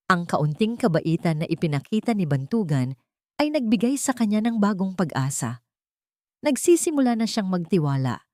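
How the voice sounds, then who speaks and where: Low-toned woman narrating a drama. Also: dark tone, dry recording, studio quality. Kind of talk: scripted dramatic story narration.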